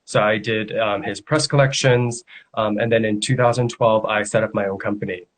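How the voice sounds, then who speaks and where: A man's voice: on the low side, steady tone. Hong Kong man with frequent fillers. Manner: measured, hesitant with many fillers. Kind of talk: video call interview. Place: home studio. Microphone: video call.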